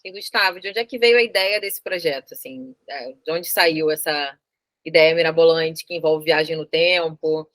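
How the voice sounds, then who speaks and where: A woman's voice: low-pitched, moderate pace, a bit bright, no room sound. A woman hosting an informal interview, chatty and a little hesitant in her phrasing.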